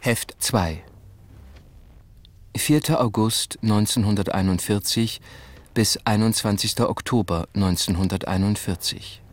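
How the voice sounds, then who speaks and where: A man's voice: on the low side, measured pace, natural voice. A man reading scripted title and date announcements as the announcer of a radio play.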